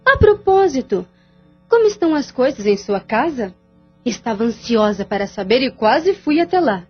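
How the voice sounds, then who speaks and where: A woman's voice: on the high side, sing-song, slightly rough, lo-fi sound, soft background music. A woman acting a scheming, seductive and cruel mistress in an audio soap opera, with a taunting, mocking drawl. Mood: mocking, prying. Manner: taunting, drawn-out, laughing scornfully.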